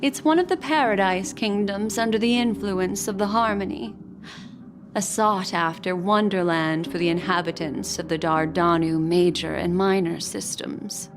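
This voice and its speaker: A woman voicing a composed, knowledgeable video game character who speaks in a measured, articulate, grave way. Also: mid-range voice, background noise, close to an everyday voice. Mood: serious, explanatory. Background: game soundtrack music.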